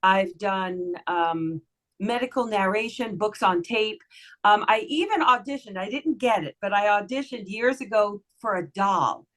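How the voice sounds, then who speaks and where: A woman's voice: animated, unhurried, fairly bright tone. Chatty smiling-voiced woman voice actress. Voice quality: lower voice for a woman. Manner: chatty, friendly, giggly, smiling tone. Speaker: voice actress guest. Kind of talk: casual podcast interview.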